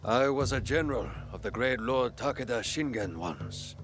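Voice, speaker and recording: An actor playing a wry, battle-worn ronin and former general in a video game, with a deliberate, knowing, formal manner. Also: male voice, fairly low pitch, a bit bright. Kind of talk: dramatic video game acting.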